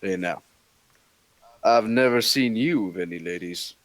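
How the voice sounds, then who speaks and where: Guy reading as a scruffy wisecracking hunter, lowish voice, dry sound. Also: unhurried, fairly bright tone, dry recording, poor audio. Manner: sarcastic, wisecracking. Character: scruffy male monster hunter in his forties, skeptic.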